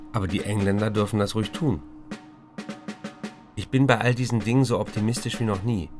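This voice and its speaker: An actor plays a self-assured writer speaking his letters home in a radio drama. His voice is low, upbeat and confiding, with clear percussive music underneath. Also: natural voice. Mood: confident, optimistic. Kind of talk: radio play, letters read aloud.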